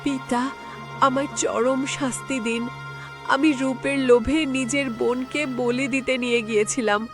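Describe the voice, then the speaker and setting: A woman's voice: high voice, fairly even intonation, a little slow, over music. A woman voicing a young princess in a fairy-tale cartoon, earnest and imploring. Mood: ashamed. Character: young princess, pleading and ashamed. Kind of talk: melodramatic cartoon acting.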